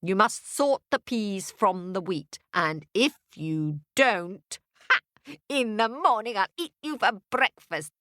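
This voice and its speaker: A woman voicing a menacing old witch with a long bony nose, speaking slowly and drawn out. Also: mid-range voice, expressive, fairly bright tone, no echo, studio quality, pitched above the performer's own voice. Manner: slow, drawn-out, sinister.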